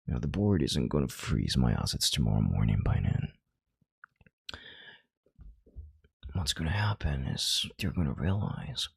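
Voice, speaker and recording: A man playing a calm, controlling, arrogant chief executive in an intimate audio roleplay, with slow, deliberate, condescending authority and a hushed delivery. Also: dry recording, heavily acted. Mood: tender, confident. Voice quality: deep, gravelly, breathy half-whisper. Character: calm, controlling, arrogant CEO soothing his analyst.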